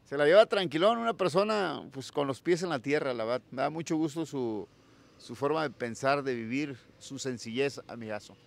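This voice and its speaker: A Mexican man interviews a local singer in an outdoor plaza, holding a handheld mic. His voice has a gravelly edge at an ordinary pitch. He is friendly and chatty, sending shout-outs to people who are watching. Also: expressive, moderate pace. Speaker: interviewer, channel host. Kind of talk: casual street interview. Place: town plaza outdoors at dawn.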